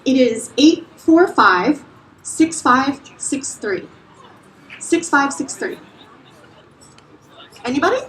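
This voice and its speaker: A woman's voice: high-pitched, expressive, slow, long pauses, fairly bright tone, a little hiss or hum. Woman emcee, upbeat and chatty, in a roomy bar with a faint crowd murmur. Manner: upbeat, chatty, laughing easily. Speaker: host introducing readers. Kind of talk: live event hosting and raffle. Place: bar with a live audience. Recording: roomy live bar sound.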